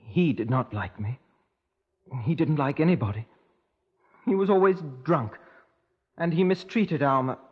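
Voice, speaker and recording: A man's voice: mid-range voice, natural voice. An actor playing a lovestruck, volatile young English handyman in an emotional, earnest 1950s radio crime drama. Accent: British. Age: young adult. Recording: muffled old radio broadcast recording.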